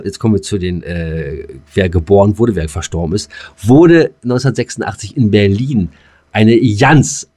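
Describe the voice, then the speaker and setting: A man's voice: mid-range voice, a little mellow. A middle-aged man co-hosting a podcast in casual, slangy, teasing banter. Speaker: podcast co-host.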